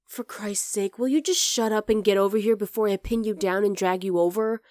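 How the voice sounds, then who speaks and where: A woman's voice: medium pitch, fairly quick, a little mellow, dry recording, clean studio sound, close to an everyday voice. A woman voicing a teasing, affectionate young wolf-girl girlfriend in an ASMR roleplay, casual and exasperated but tender. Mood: playfully exasperated. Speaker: voice actor in audio roleplay. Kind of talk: ASMR roleplay, acted one-sided dialogue.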